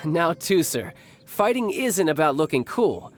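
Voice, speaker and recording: An actor playing a suave, self-assured young warrior and doting big brother in a video game, with a teasing, theatrical manner. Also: male voice, fairly high pitch, big pitch swings, a little slow. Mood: calm, earnest. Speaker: military commander and older brother. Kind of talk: animated game voice acting. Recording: game music behind the voice.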